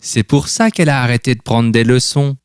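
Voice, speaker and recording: A man's voice: mid-range voice, fairly quick, clear, resonant tone, no echo, clean studio sound, voice pushed up, lightly acted. A man voicing a cheerful, kindly old grandfather in a children's storybook, teasing and affectionate, asking questions and reassuring. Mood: amused, gentle.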